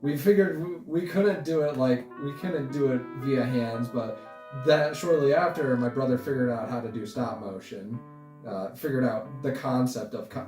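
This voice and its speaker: A man in his twenties chatting casually as an interview guest, giving halting, rambling answers. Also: mid-range voice, dark tone, distant mic. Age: 20s. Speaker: interview guest, stop-motion creator. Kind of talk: casual chat. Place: indoor room, sharing the frame with host. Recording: roomy, off-mic, music underneath. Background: guitar music.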